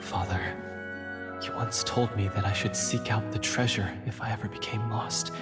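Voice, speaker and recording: An actor playing an earnest, theatrical and repentant monster hunter in a fantasy video game, with a solemn, formal manner. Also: male voice, lowish voice, steady tone, normal speed, over loud music, lightly acted.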